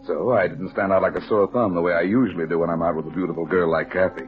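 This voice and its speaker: A muffled old radio recording with faint music carries an actor as a hard-boiled, heavyset private eye. His low voice is wry and unflappable, slipping between dialogue and narration in 1950s detective radio style. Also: steady tone, fast talker, lightly acted. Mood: wry narrating.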